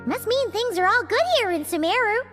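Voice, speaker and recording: A tiny, cheeky floating companion chatters in a very high, squeaky childlike voice that leaps up and down with every excited, dramatic line. Music plays clearly behind her. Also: brisk. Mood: cheerful. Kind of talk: video game cutscene acting. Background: background music.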